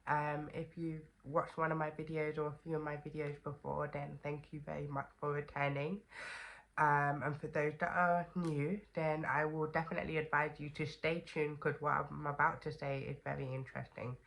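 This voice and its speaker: A woman's voice: low voice, flat delivery, normal speed, creaky, fairly bright tone. A young woman with a stuffy, congested voice from a cold, chatting casually to camera as a vlogger with lots of fillers and "okay?" asides.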